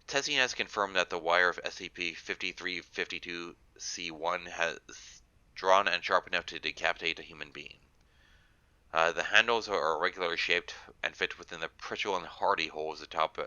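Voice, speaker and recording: A man's voice: low-pitched, sharp tone, smooth, no room sound, poor audio. A man with a congested, nasal voice from a cold, reading aloud and casually explaining as a guest author.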